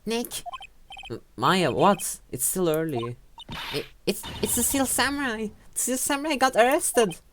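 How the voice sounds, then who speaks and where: A woman's voice: expressive, a little slow, noisy background, lightly acted. A woman voicing a bubbly teen girl sidekick. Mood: frantic. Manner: gushing, high-spirited. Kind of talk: fan voice-over of a game.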